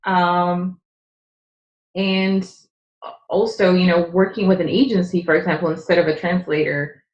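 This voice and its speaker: Low-voiced woman on an echoey line. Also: steady tone, measured pace, a little mellow, muffled recording, a little hiss or hum. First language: Czech. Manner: earnest, explanatory, with frequent fillers. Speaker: podcast guest. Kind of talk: conversational interview.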